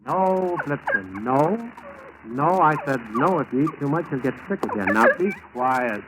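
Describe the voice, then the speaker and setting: An actor playing a mild, fussy male landlord and secret spy in a 1940s radio drama, speaking a little haltingly. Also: medium pitch, sing-song. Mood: scolding his dogs. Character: male suspected spy, dog-fussing landlord posing as patriot. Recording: old radio recording, faint noise.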